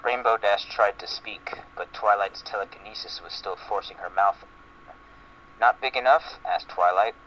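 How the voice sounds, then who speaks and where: A man with a fairly low voice reads fan fiction aloud as a comic drama. The audio is muffled, with a faint layer of noise. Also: normal speed, sharp tone. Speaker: reader.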